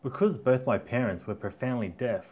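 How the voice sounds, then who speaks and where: A middle-aged Australian man reads his own story aloud at a mid-range pitch. His telling is plain and reflective, and he sometimes trips over a word and restarts it. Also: moderate pace, husky, phone-quality sound. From Australia (Tasmania). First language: Auslan. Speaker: author narrating his own book. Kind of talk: audiobook narration.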